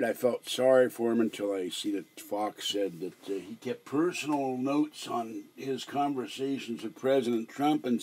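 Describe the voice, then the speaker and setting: An older man with a hoarse voice, chatting on a live stream from a small room at home, meandering and halting with many fillers. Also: fairly low pitch. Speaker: livestreamer. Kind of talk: rambling live stream chat.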